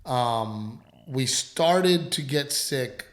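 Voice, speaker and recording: A man's voice: mid-range voice, lively intonation. Man, podcast co-host, lingering dry cough, rambling restarts, echoey room. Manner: rambling, halting, full of restarts. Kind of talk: casual chat.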